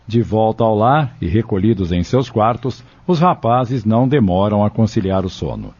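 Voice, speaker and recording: A man's voice: fairly low pitch, expressive, normal speed, slightly rough. A man narrating an audio drama from a script. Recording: muffled lo-fi, loud music behind.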